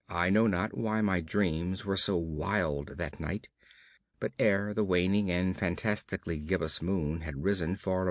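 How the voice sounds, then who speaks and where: A man's voice: on the low side, no echo, studio quality. A man reading a horror story as an audiobook narrator, with formal, theatrical emphasis and dramatic delivery.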